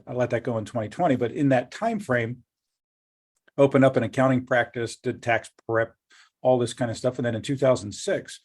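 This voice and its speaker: A man's voice: mid-range voice, darkish tone. A middle-aged man hosting an interview, jokey and upbeat, rambling as he talks about his career. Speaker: host, company executive. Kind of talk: casual interview talk.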